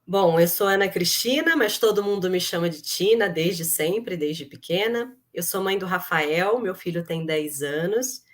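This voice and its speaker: A woman's voice: on the low side, animated, measured pace, hardly any pauses. A middle-aged woman with a Brazilian accent, speaking as an interview guest and explaining in a conversational way. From Brazil, grew up in Rio de Janeiro. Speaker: interview guest, human resources executive.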